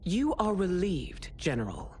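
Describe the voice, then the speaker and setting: Imperious low-voiced warrior empress. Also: female voice, sing-song, measured pace. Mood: defiant, stern. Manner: imperious, formal, commanding. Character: proud, stern warrior empress, regal and wounded. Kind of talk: dramatic video game acting. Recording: loud cinematic music behind.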